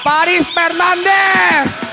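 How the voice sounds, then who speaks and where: Man, game show host, very high voice, hyped and loud, music behind. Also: fairly even intonation, very slow, creaky. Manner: hyped, slangy, calls out names loudly. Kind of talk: energetic game show hosting. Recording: music under the voice.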